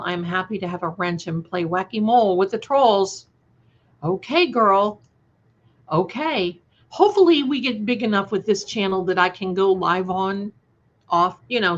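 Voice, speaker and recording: A woman livestream host talks at an unhurried pace through slightly dull, lo-fi audio. She chats casually with viewers, gushing endearments and drifting from one remark to the next. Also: medium pitch, fairly bright tone. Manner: gushing, affectionate terms of endearment, scattered and conversational. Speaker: livestream host and channel creator. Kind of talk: casual livestream chat, reading and answering viewer comments.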